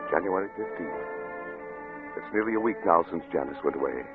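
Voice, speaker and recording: A man's voice: low voice, expressive, brisk. An actor playing a paranoid, obsessed scientist narrating his diary in a 1940s radio drama style, intense and theatrical. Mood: paranoid, brooding. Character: obsessed male scientist, paranoid, under a brain's sway. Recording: tinny old radio recording with music.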